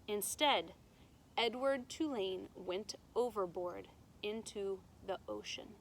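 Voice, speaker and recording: A woman teacher reading a children's storybook aloud in a deliberate, expressive way, explaining things to her students. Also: medium pitch, slight background noise, rough recording.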